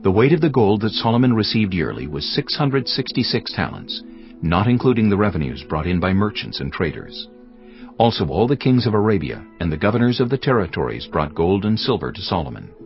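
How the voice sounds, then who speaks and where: A man narrating scripture from a script in a measured, solemn manner. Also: on the low side, big pitch swings. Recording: dull studio recording over background music.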